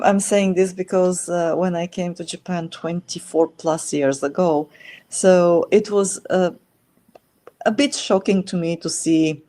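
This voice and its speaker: A middle-aged woman, speaking in a lowish, mellow voice. She talks thoughtfully and often stops on "um" while she gathers her words. This is a relaxed interview chat. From Bulgaria. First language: Bulgarian. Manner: thoughtful, many filler pauses. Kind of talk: casual conversation.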